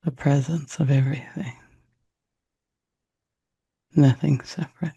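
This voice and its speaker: A man leading a guided meditation in a soft, unhurried, reflective way, with long pauses and a gravelly, husky voice. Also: on the high side, steady tone, highs cut off.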